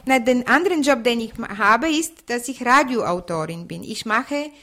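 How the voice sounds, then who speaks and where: A woman's voice: fairly high pitch, animated, moderate pace, bright, forceful tone, faint music behind. A woman in her forties from Bulgaria, answering interview questions in an earnest, reflective way. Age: 40s. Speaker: interviewee, radio author.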